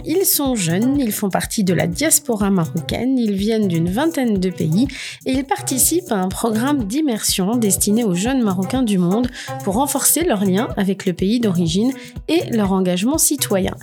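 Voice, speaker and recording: Woman radio host, mellow tone, measured questions, soft music underneath. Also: on the high side, expressive. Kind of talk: radio interview hosting.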